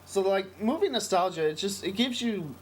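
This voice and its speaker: A man's voice: high voice, animated, moderate pace. An American man co-hosting a casual podcast, talking in a rambling, emphatic, enthusiastic way. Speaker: podcast co-host. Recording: noisy amateur podcast recording.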